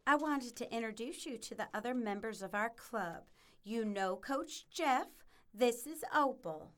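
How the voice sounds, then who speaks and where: A woman's voice: fairly high pitch, animated, measured pace. A woman playing a prim, gracious book club hostess in a comedic audio drama, polite and proper.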